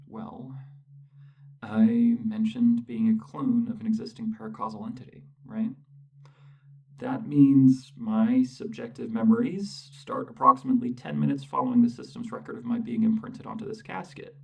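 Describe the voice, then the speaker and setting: A smug machine intelligence captain acted by a performer lords it over a subordinate in soft ASMR roleplay. The voice is creaky and breathy, sitting a little away from the mic, with clipped orders and deliberate, superior pauses. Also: mid-range voice, sing-song, far from the mic, low-quality recording. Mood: dry, condescending. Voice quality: breathy, often dropping to a whisper. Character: condescending newborn AI mech co-pilot captain, bossy, smug.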